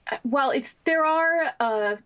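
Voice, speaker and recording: A woman novelist as an interview guest, answering in a thoughtful, measured way with frequent fillers. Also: high voice, fairly bright tone. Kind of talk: interview conversation.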